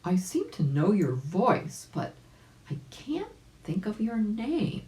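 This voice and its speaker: A woman voicing a puzzled, polite old gentleman rabbit in a children's storybook, mild, curious and trusting. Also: mid-range voice, wildly swooping pitch, slow, echoey room, pitched above the performer's own voice. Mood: puzzled curiosity.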